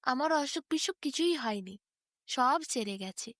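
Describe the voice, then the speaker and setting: A woman's voice: sits high, lively intonation, measured pace, fairly bright tone, dry recording, clean studio sound. A woman voicing a frightened, gullible male ox in a bedtime story, pleading with anxious questions. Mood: grateful, anxious.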